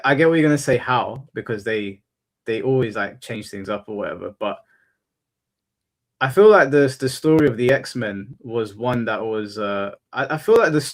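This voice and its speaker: Monotone male podcast host. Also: mid-range voice. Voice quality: monotone delivery. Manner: halting, restarts often while thinking. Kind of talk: casual panel chat.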